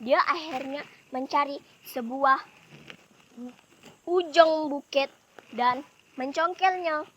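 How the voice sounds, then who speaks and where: A woman's voice: sits high, slow pace, pauses often, fairly bright tone, slight background noise. A teenage girl with a West Kalimantan Malay accent, telling a folktale in a dramatic storytelling style. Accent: West Kalimantan Malay-influenced Indonesian. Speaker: student storyteller.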